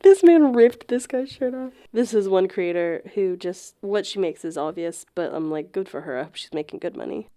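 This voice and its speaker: A woman with a soft, mellow tone co-hosts a casual comedy podcast. She talks candidly and slangily, swears freely and sounds amused by what she shares. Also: mid-range voice, lively intonation, normal speed, hardly any pauses. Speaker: podcast co-host.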